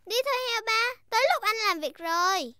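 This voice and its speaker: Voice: very high voice, lively intonation, fairly quick, heavy background noise. A performer voicing a cheerful, bossy little girl piglet who plays at being grown-up, in a children's cartoon dub. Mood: playfully self-important. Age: child character. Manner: bossy, playful, giggly. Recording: music behind the voice.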